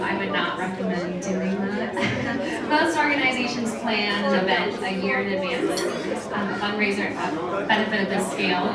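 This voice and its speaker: A woman gives an informal guest speech at a fundraising event. Her voice carries from a distance through a very reverberant room, over loud crowd noise. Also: medium pitch. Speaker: guest speaker, nonprofit consultant. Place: large event room with audience. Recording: echoey hall, distant mic, loud crowd.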